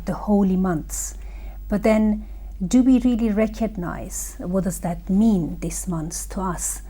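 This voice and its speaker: A middle-aged woman hosts a talk show and interviews young guests. Her voice is mellow and warm. She speaks deliberately, in slightly broken English, and often restarts her phrases. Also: mid-range voice. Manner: slow, unpolished English with frequent restarts. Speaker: host.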